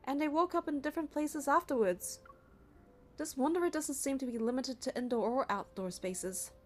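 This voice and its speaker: A woman's voice: high voice, normal speed. A young woman narrating a game playthrough, reading the story and the player character's part in a casual way. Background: music.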